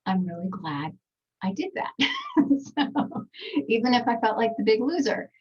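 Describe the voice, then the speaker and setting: A woman's voice: a little high, sing-song, unhurried, darkish tone, breathy edge, far from the mic, lo-fi sound. Chatty Texan woman on a webinar. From Texas, United States. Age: 40s. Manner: chatty, with many fillers and asides. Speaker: skincare trainer presenting a webinar. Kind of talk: casual online presentation. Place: small home voiceover studio.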